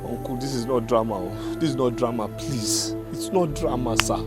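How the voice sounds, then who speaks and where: A man's voice: mid-range voice, normal speed, loud music behind the voice, close to an everyday voice. A young man playing a remorseful husband and father who pleads over and over for his family's forgiveness. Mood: pleading. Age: young adult. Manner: pleading, repeating himself. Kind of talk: film drama acting.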